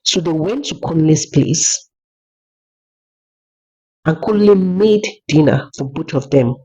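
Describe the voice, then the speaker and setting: A narrator telling a scripted folktale in a measured way, as a storyteller. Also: medium pitch, animated, darkish tone, husky. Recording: dull lo-fi recording.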